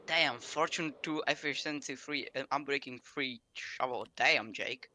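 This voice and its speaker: A man's voice: mid-range voice, unhurried, bright, forceful tone. A man from Slovakia chatting and joking defensively while playing a game with friends. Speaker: guest player. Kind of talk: casual gaming chat.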